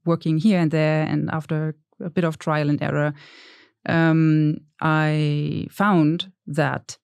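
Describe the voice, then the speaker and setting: A woman's voice: sits low, little pitch movement, slow pace, vocal fry, a little mellow, clear, resonant tone, no room sound. Woman on a dry close mic. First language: German. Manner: thoughtful, hesitant, self-deprecating. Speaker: podcast guest. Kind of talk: casual interview chat.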